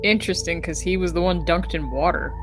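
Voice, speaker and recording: A woman's voice: fairly low pitch, brisk. A woman playing a tabletop roleplaying game, chatting casually with wry little jokes. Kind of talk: casual, joking table chat. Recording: music bed behind voice. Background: music.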